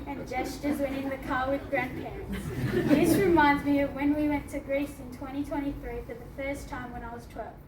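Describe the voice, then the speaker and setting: A woman's voice: high voice, fairly even intonation, normal speed, hardly any pauses, over a noisy crowd. Teen girl reading her essay, earnest, recorded from afar in a noisy, roomy hall. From Australia. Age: teenager. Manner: earnest, with small laughs. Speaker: student reading her story. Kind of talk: reading a personal essay aloud. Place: hall at a book launch. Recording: echoey hall from far off, noisy.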